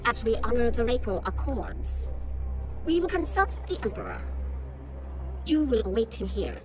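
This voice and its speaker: A woman's voice: fairly high pitch, big pitch swings, moderate pace, a little mellow, soft background music. A woman playing a haughty, menacing royal enforcer from another world in a video game, formal and imperious. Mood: cold threat. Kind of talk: dramatic video game cutscene acting.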